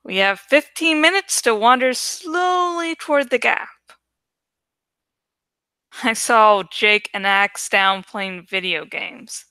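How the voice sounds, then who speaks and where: A woman hosting a livestream reading of a novel, speaking in an expressive, deliberate way with casual, dramatic delivery. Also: a little high, dry recording, studio quality. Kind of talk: dramatic audiobook-style reading with casual asides.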